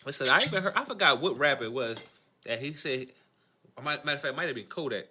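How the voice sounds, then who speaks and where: A man's voice: mid-range voice, expressive, fast, pushed and bright. A man in a casual podcast chat as co-host, emphatic and slangy, swearing freely.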